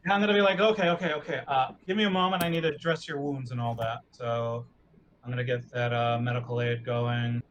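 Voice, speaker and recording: A man's voice: medium pitch, steady tone, fairly quick, a bit bright, muffled recording, a little hiss or hum. A man playing a tabletop roleplaying game, improvising casually as he says what his character does.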